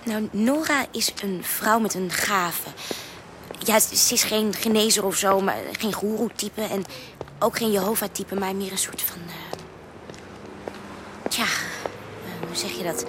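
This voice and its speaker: A woman's voice: medium pitch, lightly acted. Hesitant, earnest woman played by an actress, noisy surroundings. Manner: halting, searching for words, breathy drops. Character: hesitant, earnest woman recommending a spiritual helper. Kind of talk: radio drama acting. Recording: clear background ambience noise.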